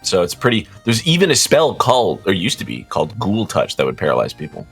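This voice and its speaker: A man's voice: deep voice, huge pitch swings, a little fast, vocal fry, fairly bright tone, breathy edge, soft background music. A man running a tabletop game, narrating casually to the players as game master.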